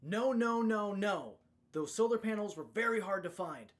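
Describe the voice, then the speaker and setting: An actor playing a fussy, anxious, pleading companion in an audio drama. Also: male voice, sits high, expressive, moderate pace. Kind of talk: post-apocalyptic audio drama.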